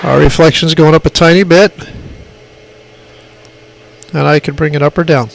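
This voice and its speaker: Man, fairly high pitch, informal demonstration, emphatic, repetitive, plainspoken, amateur phone audio, light background noise. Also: fairly even intonation, moderate pace. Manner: plainspoken, emphatic, repeats points. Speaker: radio hobbyist demonstrating equipment. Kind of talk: informal hands-on tutorial. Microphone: phone recording. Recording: clearly noisy amateur phone recording.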